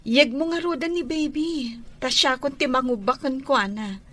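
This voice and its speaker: A woman's voice: high voice, normal speed, sharp tone. A woman playing a grateful, affectionate young wife in an Ilocano radio drama, with an Ilocano Filipino accent. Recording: faint background noise.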